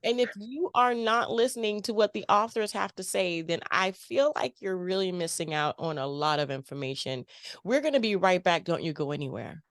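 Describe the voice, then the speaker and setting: A woman's voice: fairly low pitch, lively intonation, moderate pace, no room sound, studio quality. A woman from the United States hosting a casual radio-style author interview, bubbly, upbeat and playful. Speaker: podcast host.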